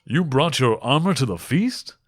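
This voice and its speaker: A man voicing a proud, suspicious king in a fantasy audiobook, intense, hushed and strained. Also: mid-range voice, animated, normal speed, no echo, clean studio sound, lightly acted. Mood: stunned, resolute. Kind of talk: dramatic fantasy audiobook reading.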